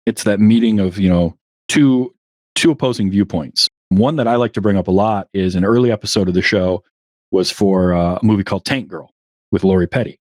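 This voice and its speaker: A man with a lowish voice talks loosely as a podcast guest, often restarting mid-sentence and chuckling at himself. The sound is dry and close, with no room around it. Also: a little fast, a little mellow, no echo. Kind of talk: casual interview chat.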